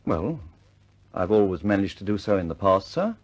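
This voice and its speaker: An actor playing a stubborn, understated British engineer in a vintage radio drama, measured and politely insistent. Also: male voice, deep voice, sing-song.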